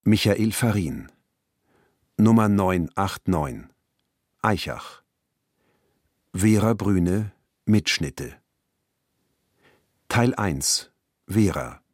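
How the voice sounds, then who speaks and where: Very slow, deep male title announcer. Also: animated, dry recording, clean studio sound. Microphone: close studio microphone.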